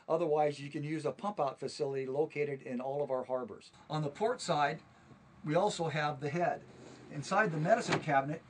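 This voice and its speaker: A man with a slightly high, faintly husky voice leads a tour through a small sailboat cabin. He works through the gear item by item, steady and methodical. The voice sits a little back from the microphone. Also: distant mic. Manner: steady, methodical listing of equipment. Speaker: charter boat staff giving a tour. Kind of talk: walkthrough tour, instructional.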